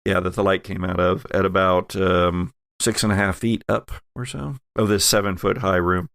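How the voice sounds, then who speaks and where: A man runs a horror tabletop game, narrating in a low, gravelly voice. He takes his time, halting mid-phrase as he builds each scene, and sounds close and dry. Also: steady tone, a bit bright, no room sound. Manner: halting, pausing mid-phrase to find words. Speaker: game master.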